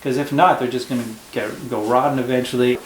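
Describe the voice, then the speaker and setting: A man's voice: medium pitch, fairly even intonation, fairly quick, echoey room, slight background noise, rough recording. Patient male teacher explaining to a child. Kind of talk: casual classroom discussion. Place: home.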